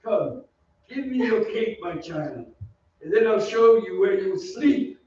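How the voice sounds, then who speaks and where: An actor playing a tormented, possessive and self-loathing masked composer in an old-time radio drama. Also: male voice, sits high, animated, slow pace, darkish tone, a little hiss or hum. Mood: tender, obsessive. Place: theatre stage. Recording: echoey stage, distant, muffled, faint music.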